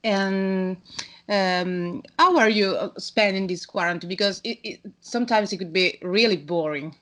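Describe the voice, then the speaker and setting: A woman's voice: mid-range voice, a little slow, fairly bright tone. A woman, asking casual interview questions in hesitant, English. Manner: hesitant non-native English phrasing.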